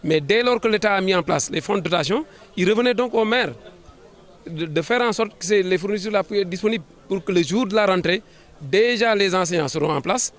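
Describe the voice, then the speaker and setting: A man with a Senegalese accent answering an interview as a government official, in formal administrative phrasing, often halting and repeating words. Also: high voice. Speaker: government official, sub-prefect.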